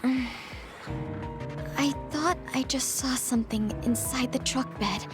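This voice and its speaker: An actress playing a soft-spoken, hesitant young psychic scout in an anime-style video game. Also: female voice, sits high, slow, music in the background. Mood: watchful, hesitant.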